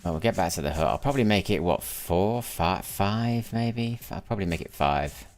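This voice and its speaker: Tired, low-voiced man streaming a game. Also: expressive, moderate pace, slight background noise. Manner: chatty, muses aloud, often repeats words while restarting. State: tired after a sleepless night, voice lower than usual. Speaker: gaming streamer. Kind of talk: casual live gameplay commentary.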